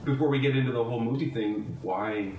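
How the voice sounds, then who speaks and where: A man co-hosting a casual podcast among friends, relaxed and chuckling. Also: medium pitch, fairly quick. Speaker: podcast co-host. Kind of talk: casual chat. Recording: echoey room, mic at a distance, faint noise.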